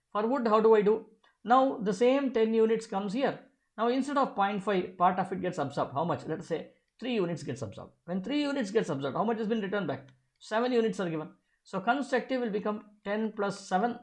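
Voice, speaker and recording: Physics teacher, step-by-step problem walkthrough, didactic, self-answered questions, repeated key phrases, medium pitch.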